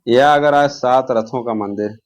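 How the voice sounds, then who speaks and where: Guy coaching for exams, mid pitch, asks stuff then answers it, repeats the key words. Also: unhurried. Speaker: exam coaching teacher. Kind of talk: informal exam-prep lesson, question and answer.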